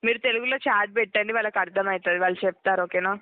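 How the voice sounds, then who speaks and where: A woman customer care agent speaks quickly over a narrow, tinny phone line. She gives step-by-step instructions, repeats them and checks that the caller follows. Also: mid-range voice, a little hiss or hum. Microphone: telephone call.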